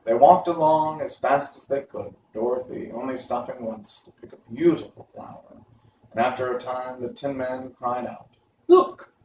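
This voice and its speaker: A man's voice: mid-range voice, fairly even intonation, normal speed, warm tone. A man narrating a storybook, reading aloud. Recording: roomy, distant mic, faint noise.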